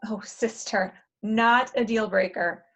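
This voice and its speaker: A woman's voice: medium pitch, fairly even intonation, takes it slowly, fairly bright tone. A woman playing a blunt, worldly, teasing character with a dry, knowing manner in a comedic table read.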